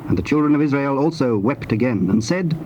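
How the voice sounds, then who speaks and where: A man narrating dramatized scripture in archaic English in a measured, formal manner. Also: mid-range voice, expressive, a little mellow. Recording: dull, very noisy old tape.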